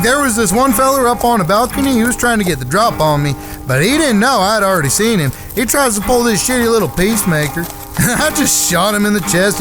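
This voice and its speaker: A man playing a breezy, trigger-happy outlaw brother in a Western audio drama, folksy and chatty. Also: high voice. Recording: music under the voice. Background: music.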